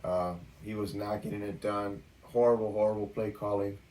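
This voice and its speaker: A man co-hosting a sports podcast, going over game matchups in a relaxed chatty way with lots of fillers. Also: lowish voice, normal speed, recorded from a distance, rough recording. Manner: relaxed, talks through stats with fillers. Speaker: podcast co-host. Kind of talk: casual sports podcast chat.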